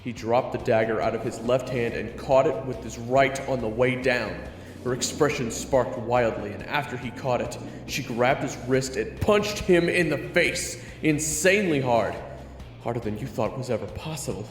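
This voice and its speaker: Male story narrator: mid-pitched, gravelly edge, dramatic scripted read over clear music with reverb. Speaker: narrator of an audio roleplay. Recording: echoey reverb, music behind.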